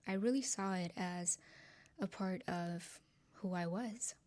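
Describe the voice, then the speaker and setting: A woman from Nepal in her twenties, a native Nepali speaker, softly and earnestly sharing her personal testimony with her channel's viewers, with frequent ums and light laughs. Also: fairly low pitch, takes it slowly.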